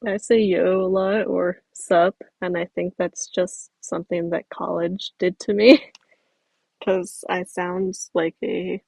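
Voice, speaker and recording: Very gravelly-voiced young woman streamer. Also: on the low side, darkish tone, dry recording, poor audio. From the American South. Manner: chatty and rambling, many fillers, laughs easily. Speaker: stop-motion creator streaming. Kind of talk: casual livestream chat reading comments.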